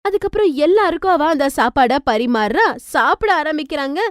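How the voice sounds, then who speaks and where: A woman narrates a scripted cartoon moral story. Her voice is very high-pitched, and the recording is close and dry. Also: lively intonation, a little fast, no echo.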